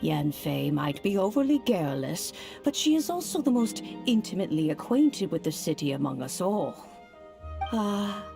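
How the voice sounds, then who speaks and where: An actress playing a gentle elderly immortal granny in a video game, speaking in old-fashioned, unhurried phrases with fond chiding. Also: female voice, mid-range voice, creaky, heavily acted. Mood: wistful.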